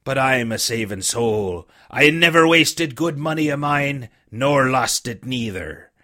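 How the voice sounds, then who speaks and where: A man voicing a sick old sea captain who pleads and blusters in rough sailor's talk with a weak, feeble voice. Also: mid-range voice, lively intonation, unhurried, pushed and bright. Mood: defiant.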